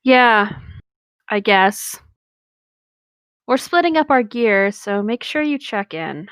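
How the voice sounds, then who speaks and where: A woman's voice: medium pitch, big pitch swings, slow, a bit bright, smooth, no echo. A woman co-hosting a story reading, narrating in the first person with brief casual reactions. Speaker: co-host reading stories aloud.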